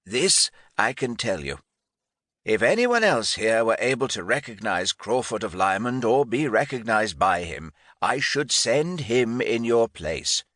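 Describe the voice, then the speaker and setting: A man's voice: medium pitch, very animated, measured pace, a bit bright, no echo, studio quality, voice pushed up. Man acting an irascible, imperious old English lord. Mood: brusque, insistent. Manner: imperious, emphatic, formal. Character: irascible grey-bearded English lord and military commander.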